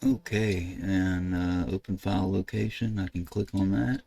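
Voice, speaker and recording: An older American man with a low, creaky voice talks through a computer demonstration. He speaks casually and haltingly, thinking aloud as he goes. His delivery is flat, with little rise or fall. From United States. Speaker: ham radio video host. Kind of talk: casual screen-share tutorial narration. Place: indoors at a computer desk.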